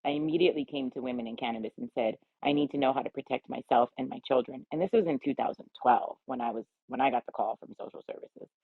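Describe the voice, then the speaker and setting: Gravelly, assertive American woman over phone-like audio. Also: extremely low pitch, sing-song, fast talker, few pauses. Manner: assertive, emphatic, colloquial. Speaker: round table guest, cannabis advocate. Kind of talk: candid personal storytelling. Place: remote audio chatroom call. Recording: roomy, tinny phone-like audio.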